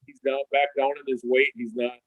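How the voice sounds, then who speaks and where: A man's voice: medium pitch, flat delivery, brisk, dry recording, phone-quality sound. A man guest giving a plainspoken, considered opinion in a casual live video chat.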